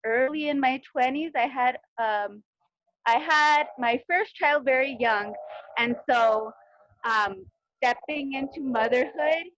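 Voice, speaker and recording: Woman, 30s, halting affirming testimonial, slightly high voice, dry video-call audio. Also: dry recording. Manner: warm, affirming, halting with fillers. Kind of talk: personal testimonial on a group call. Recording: dry, dull lo-fi sound.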